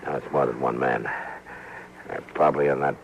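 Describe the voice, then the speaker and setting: Muffled, noisy old radio western recording of a stern American frontier marshal with an extremely deep voice. He is gruff and wary, and he wastes no words. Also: fairly even intonation, fairly quick. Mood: urgent, commanding. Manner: gruff, laconic, guarded.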